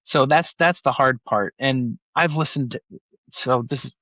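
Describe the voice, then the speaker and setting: A man's voice: medium pitch, unhurried, sharp tone, no room sound. A man in his forties from Utah, a banker chatting as a guest in a casual interview, rambling with lots of filler words. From Utah, USA. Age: 40s. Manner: rambling, filler-heavy, many "like"s.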